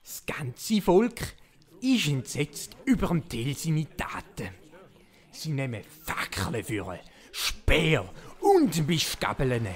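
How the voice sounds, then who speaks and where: A man's voice: a little high, noisy background, heavily acted. A man narrating a comic Swiss German audio play as a tongue-in-cheek storyteller. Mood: dramatic. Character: animated, tongue-in-cheek storyteller of a folk-legend parody.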